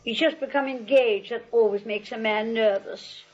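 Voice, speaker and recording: A prim, firm old spinster aunt played by a woman in an old radio comedy. Her fairly high voice is genteel and matter-of-fact, on a dull vintage recording. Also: moderate pace, darkish tone, close to an everyday voice. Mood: sweet, pleased. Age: older. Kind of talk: 1940s radio comedy acting.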